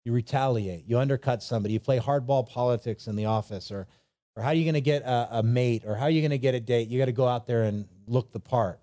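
A male preacher with a soft-edged, mellow voice at a middling pitch. He delivers his sermon in an informal, conversational way, slipping into imagined dialogue and leaning on rhetorical questions and repetition. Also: fast talker.

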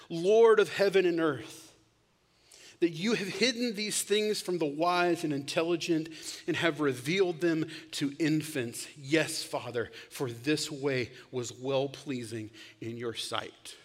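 A man preaching a sermon, telling stories with loud bursts and sudden hushed whispers. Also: on the high side, measured pace. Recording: long hall reverb.

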